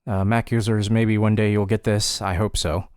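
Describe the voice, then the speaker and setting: A man narrating a software tutorial step by step in a casual, informal way, with dry wry asides. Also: on the low side, little pitch movement, moderate pace, smooth, dry recording. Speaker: tutorial presenter.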